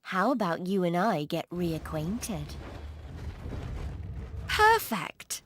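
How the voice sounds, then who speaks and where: A woman's voice: on the low side, very animated, very slow, a bit bright, slight background noise, full character voice. An actress playing a feisty young woman in a game cutscene, blunt and teasing. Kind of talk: video game cutscene acting. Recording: game audio with music behind.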